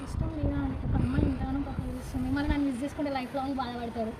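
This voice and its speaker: A woman puts on a lovestruck young woman's prank-call voice, high-pitched and quick. She pleads insistently and coaxes with earnest devotion. The amateur recording is very noisy. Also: steady tone, natural voice. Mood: coaxing, insistent. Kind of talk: prank phone call, acted romantic pleading.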